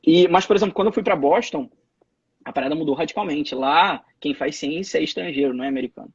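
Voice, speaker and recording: Husky-voiced Brazilian man, rambling. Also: fairly high pitch, fast, dry recording, muffled recording. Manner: rambling, many restarts and repeated words. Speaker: interview guest. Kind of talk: casual interview over a live video call. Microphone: phone or laptop mic on a live stream.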